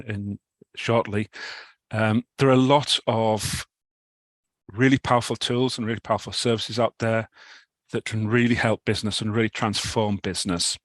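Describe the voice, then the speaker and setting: A middle-aged British man with a cold, giving a talk over a video call, halting with many restarts. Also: on the low side, no room sound. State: has a cold, clears throat. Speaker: guest speaker. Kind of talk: online talk presentation.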